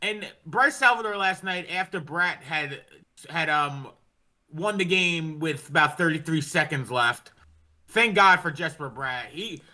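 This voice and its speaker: A man's voice: fairly high pitch, animated, a little slow, bright, forceful tone. A man hosting a casual sports podcast, ranting in an exasperated way and swearing heavily. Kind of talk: casual hockey fan chat.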